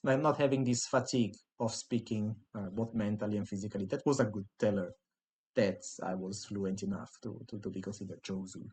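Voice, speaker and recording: A man's voice: lowish voice, fairly even intonation, brisk, a little mellow, slightly rough, poor audio. Italian-native man hosting a podcast. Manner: reflective, with frequent restarts. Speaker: podcast host. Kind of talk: casual podcast chat.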